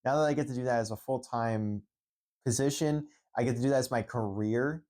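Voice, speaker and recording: A man's voice: medium pitch, brisk, a little mellow. A young man from Central Pennsylvania talks unscripted into his phone at home, rambling with many restarts and fillers. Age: young adult. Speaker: esports head coach. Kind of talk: unscripted vlog monologue.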